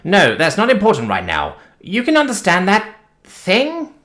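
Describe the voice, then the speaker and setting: Man playing a small wary alien critter, anxious and halting, lively pitch. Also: exaggerated voice. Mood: startled. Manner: anxious, hesitant. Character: small nervous furry alien creature, wary.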